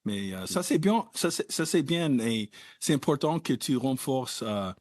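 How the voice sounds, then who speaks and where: Animated male interview host, dry sound. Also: mid-range voice, a little slow, no echo. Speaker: podcast host. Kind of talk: conversational interview.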